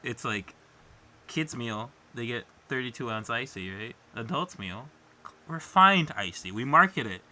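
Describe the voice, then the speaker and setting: Bright, sharp-voiced man bantering casually. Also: medium pitch, lively intonation, unhurried, a little hiss or hum. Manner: crude, profane, playful riffing. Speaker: podcast co-host.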